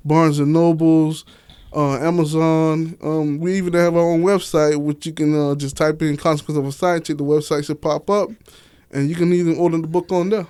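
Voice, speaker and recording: A man's voice: on the high side, a little mellow, clean tone, dry recording. An American man being interviewed, chatting casually and emphatically in slang, peppering his talk with "you know" and "man". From Miami, Florida, United States. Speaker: interview guest, author and stage play director.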